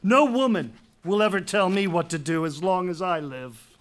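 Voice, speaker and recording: A man's voice: high-pitched, moderate pace, natural voice. A proud, authoritarian king played by a man in a staged Greek tragedy reading. He speaks imperiously, questioning like a stern ruler who expects obedience. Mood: scornful authority. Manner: imperious, interrogating. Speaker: actor.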